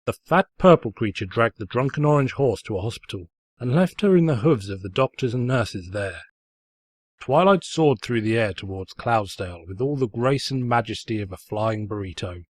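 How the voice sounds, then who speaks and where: A man's voice: mid-range voice, lively intonation, normal speed, no echo, natural voice. A man playing a sarcastic, pompous narrator who mockingly tells a comic fan fiction story. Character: snide, mocking male storybook narrator who insults the heroine.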